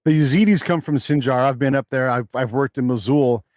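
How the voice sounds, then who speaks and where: A man hosts a casual podcast interview, chatty and joking, often restarting his sentences. His voice sits at an ordinary pitch, recorded dry with no room echo. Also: brisk, a little mellow. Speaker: podcast host.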